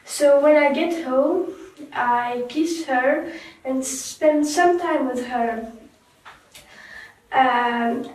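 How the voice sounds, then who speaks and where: A remorseful young woman, played by a woman tells her story slowly, stumbling and restarting. Her voice sits high. It sounds distant and echoey. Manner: slow, halting, with restarts. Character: young woman survivor, remorseful and soft-spoken. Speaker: guest in a staged talk show. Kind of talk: scripted role-play interview. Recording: echoey room, far from mic.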